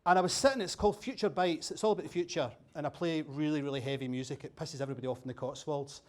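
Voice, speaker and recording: A Scottish man with a fairly high voice gives a keynote at a dinner, chatty and quick with a joke. He keeps throwing questions at the audience. He is miked up in a venue with a bar. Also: darkish tone. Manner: chatty, jokey, quizzing the audience. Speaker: keynote speaker. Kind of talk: humorous after-dinner talk.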